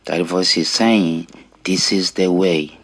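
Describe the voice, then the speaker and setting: Guy with a rwandan accent, really low voice, takes his time, earnest personal storytelling. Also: steady tone. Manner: earnest, deliberate, with pauses between short phrases. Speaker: storyteller sharing own life story. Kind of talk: personal testimony.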